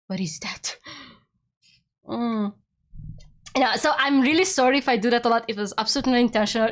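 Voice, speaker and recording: Giggly young woman streamer. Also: on the high side. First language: Hungarian. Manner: giggly, rambling, talks in quick excited bursts. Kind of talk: casual chat with viewers during a game stream.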